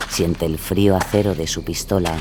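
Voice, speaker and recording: A man with a very low voice narrates a true-crime drama. His delivery is scripted and suspenseful. Clear night ambience with crickets runs behind him. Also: steady tone, normal speed, background noise. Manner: suspenseful storytelling.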